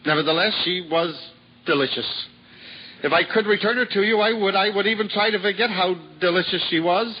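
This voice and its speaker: An actor playing a poor, cheerful wandering juggler in a 1950s radio drama, earnest and prone to stammering when flustered. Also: male voice, sits high, a little fast, slightly rough. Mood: apologetic. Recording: dull old radio recording with hiss.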